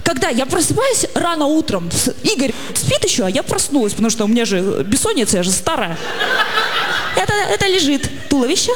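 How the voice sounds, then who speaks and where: A woman's voice: high-pitched, sing-song, fairly quick, music in the background. A woman in her forties doing a chatty, self-mocking stand-up comedy monologue. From Russia. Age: 40s. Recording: long hall reverb, audience noise.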